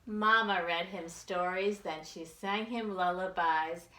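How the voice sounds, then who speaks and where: A woman's voice: lowish voice, steady tone, slow, pushed and bright, roomy sound, a little hiss or hum, rough recording. A woman reading aloud at a children's storytime in a sing-song, exaggerated way, inviting the children to join in.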